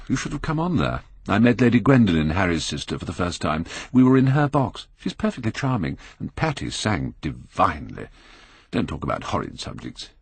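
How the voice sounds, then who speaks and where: A man voicing a vain, callous young gentleman in an audiobook, British, careless and petulant in manner. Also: sits low, vocal fry, breathy edge, dry recording, exaggerated voice. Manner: careless, petulant, self-possessed. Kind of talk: audiobook character acting.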